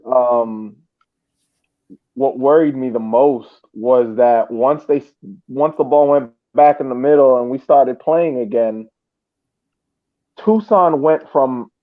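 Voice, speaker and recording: A man's voice: mid-range voice, animated, a little slow. A man co-hosting a casual sports podcast, talking over a remote internet call. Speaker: podcast co-host. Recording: tinny phone-like internet call.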